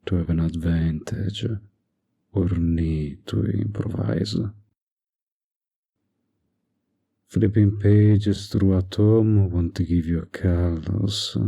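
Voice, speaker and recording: Man acting a husky, low, seductive villain. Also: dark tone, heavily acted. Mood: smooth, teasing. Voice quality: husky, breathy, often near a whisper. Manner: slow, teasing, seductive, insinuating. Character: flirty, manipulative male fantasy villain seducing a hero. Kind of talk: romantic audio roleplay acting.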